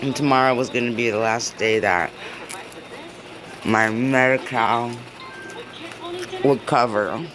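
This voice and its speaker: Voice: medium pitch, fairly even intonation, takes it slowly, long pauses. A vlogger chatting casually in a video diary, rambling with many fillers and frequent laughs, filmed on a phone in an indoor room. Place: indoor room with a window view. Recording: noisy room ambience.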